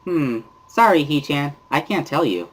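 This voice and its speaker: A man's voice: a little high, big pitch swings, measured pace, voice pushed up. A man voicing a bubbly, pushy teenage schoolgirl in an amateur visual novel reading, with a sing-song, coaxing manner. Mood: teasing.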